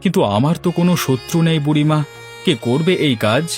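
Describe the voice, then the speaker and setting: A man playing a poor, gentle village fisherman in a Bengali cartoon, earnest and plaintive in his speech. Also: on the high side, fast talker, faint music behind, natural voice. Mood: distressed, bewildered. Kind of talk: Bengali moral-story cartoon dub.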